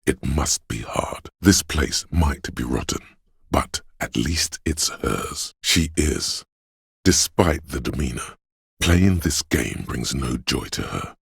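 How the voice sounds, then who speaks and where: An actor voicing a perceptive, compassionate, brooding inner voice in a video game, with measured, hushed, deliberate narration and a gravelly, husky tone. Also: male voice, a bass voice, expressive, no room sound, studio quality, heavily acted. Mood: somber, compassionate. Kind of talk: scripted second-person game narration.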